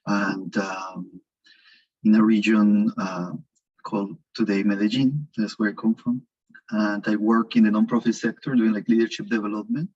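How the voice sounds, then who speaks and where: A man introducing himself on an online panel in a halting way, searching for words, over a video call with dull lo-fi audio. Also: fairly low pitch, flat delivery, a little mellow, slightly rough. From Colombia. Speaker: nonprofit leadership developer.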